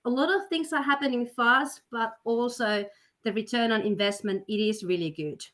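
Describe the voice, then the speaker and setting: A woman with a fairly high voice hosts an online webinar over a video call. She sounds upbeat and persuasive, keeps a steady flow of fillers and restarts, and pushes listeners to act soon. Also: normal speed. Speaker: webinar host, study counselor. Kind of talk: webinar presentation.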